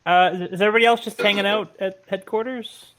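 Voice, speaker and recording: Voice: mid-range voice, steady tone, fast talker, a bit bright, rough recording. A player at an online tabletop game, casually describing their character's moves and asking the game master questions.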